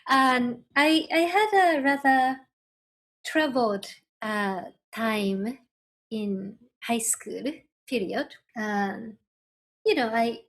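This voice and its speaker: A woman from Japan, a Japanese native speaker with a Japanese accent, talking thoughtfully as a podcast guest, with pauses and restarts. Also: on the high side, a bit bright. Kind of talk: podcast interview.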